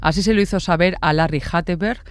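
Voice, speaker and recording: A woman narrating a true-crime podcast in a steady, explanatory way. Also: on the low side, fast talker, clean tone.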